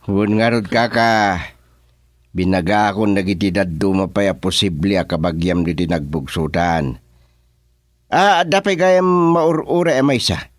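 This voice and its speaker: Man playing a slow, lowish-voiced village chairman, Ilocano accent. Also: animated, no room sound, clean studio sound. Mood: helpful, deliberate. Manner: deliberate, questioning. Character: unhurried, mediating village chairman. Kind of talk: Ilocano radio comedy drama acting.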